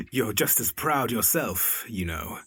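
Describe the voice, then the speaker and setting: A man acting an affable, well-spoken young gentleman in an audio drama, polite, with a husky voice. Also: medium pitch, wildly swooping pitch, slow, full character voice. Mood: amiable, teasing. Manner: polite, genteel.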